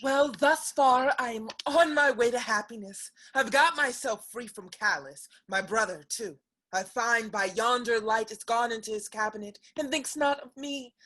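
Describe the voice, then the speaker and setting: A woman's voice: fairly high pitch, moderate pace, fairly bright tone. A woman acting an anxious young lady in a theatrical period comedy, fretful and exclaiming. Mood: hushed anticipation. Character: anxious young lady sneaking out to her lover.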